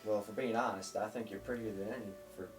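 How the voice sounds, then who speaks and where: Radio play sweetheart: woman playing an earnest, playful young boy, low register, roomy and back from the mic. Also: fairly even intonation, quick pace. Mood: teasing, smitten. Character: earnest, smitten, teasing young sweetheart boy, 1940s America. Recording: roomy, distant mic, faint music.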